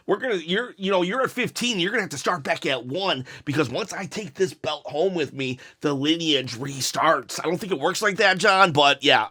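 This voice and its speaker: A man with a raspy, worn-out voice talks through a wrestling review in one unbroken take. His voice sits high and sharp-edged. He rambles into jokes at his own expense, and coughs break in now and then. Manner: jokey, self-mocking, rambling asides. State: hoarse, recovering voice, coughing. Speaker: wrestling review channel host.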